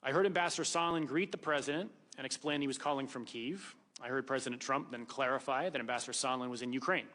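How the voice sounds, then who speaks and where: A man's voice: medium pitch, fast talker, hardly any pauses, sharp tone. A man reading prepared testimony as a witness in a formal, precise manner. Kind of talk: prepared testimony read aloud. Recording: reverberant hearing room.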